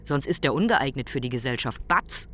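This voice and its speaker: An actor playing a brooding, philosophical ailing man in a radio play monologue, speaking in deliberate, emphatic short phrases. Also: mid-range voice, expressive, fairly bright tone, a little hiss or hum, close to an everyday voice. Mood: stern, contemptuous.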